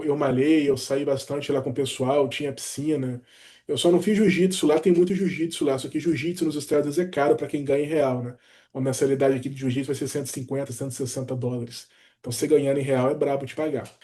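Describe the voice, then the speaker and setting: A man, telling his own story in an easygoing, animated way, often dropping "cara" and "né". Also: fairly high pitch. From Brazil. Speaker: doctor sharing his career story. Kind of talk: casual personal vlog talk.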